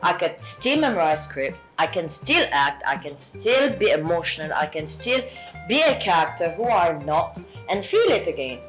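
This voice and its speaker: A woman from England with a Southwest English accent, a guest actress speaking earnestly in a casual chat, often halting and restarting. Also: fairly low pitch, very animated, soft background music, low-quality recording.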